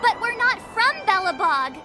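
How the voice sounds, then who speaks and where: Very high, perky young girl adventurer. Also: expressive, moderate pace. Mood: indignant, pleading. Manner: chatty, exclamatory. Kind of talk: video game voice acting. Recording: game music behind the voice. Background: orchestral violin music.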